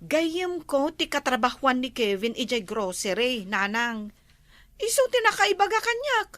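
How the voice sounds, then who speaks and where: A bubbly young village girl played by a woman with a high, bright voice, easily startled and chatty. The sound is close and dry, with no room echo. It suits a homemade comedy drama. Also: big pitch swings, unhurried, heavily acted.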